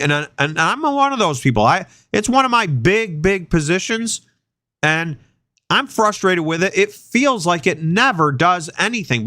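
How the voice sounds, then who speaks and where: A man's voice: high-pitched, bright, forceful tone, no room sound, clean studio sound. A man hosting an investing show, congested from a sinus infection, rambling and often repeating words as he thinks aloud. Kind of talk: casual investing chat.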